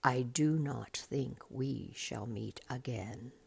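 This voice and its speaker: A woman voicing an ancient male tree giant in a storybook, slow, solemn, sad and fond, with drawn-out words. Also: extremely low pitch, vocal fry, darkish tone, breathy edge, voice pushed down, full character voice.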